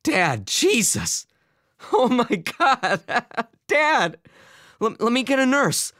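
A man's voice: lowish voice, expressive, moderate pace, dry recording, clean studio sound, voice pushed up, natural voice. A man voicing an unhappy, anxious grown son in a story, emotional and pleading. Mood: frantic, loud.

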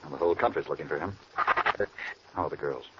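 An actor playing a sober, earnest FBI agent in a 1940s radio crime drama. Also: male voice, a bass voice, normal speed. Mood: brisk, official. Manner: earnest, grave. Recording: noisy muffled old radio recording.

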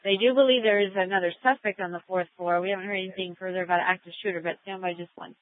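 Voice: medium pitch, fairly even intonation, racing, no room sound. A police dispatcher giving procedural updates over a scanner radio with narrow, phone-like sound.

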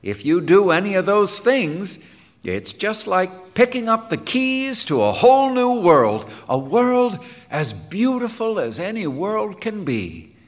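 A man voicing an earnest, well-meaning, idealistic music teacher in an audiobook, gentle and a little rhapsodic. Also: mid-range voice, sing-song, slow pace, in a large hall, heavily acted. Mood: bright, eager. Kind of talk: audiobook character dialogue.

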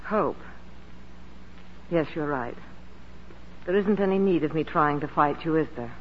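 An actress playing an ailing, anxious young concert pianist in a 1940s radio drama. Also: female voice, sits low, lively intonation, unhurried. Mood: distressed, pleading. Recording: dull, noisy vintage 1940s radio broadcast.

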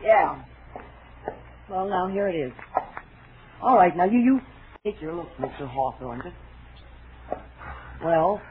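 A woman's voice: lowish voice, lively intonation, slow, long pauses. Wary showgirl, tinny old radio. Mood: reluctant, nervous. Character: wary, indignant young female stage dancer. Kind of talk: 1940s radio thriller acting. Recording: noisy, narrow-band 1940s radio recording.

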